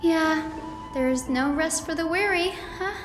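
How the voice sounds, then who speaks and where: Earnest young healer girl from a fantasy game, high voice, echoey with music behind. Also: measured pace. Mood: upbeat, confident. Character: earnest, plucky young woman physician and guide. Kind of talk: English-voiced fantasy game story dialogue. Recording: echoey reverb, music underneath.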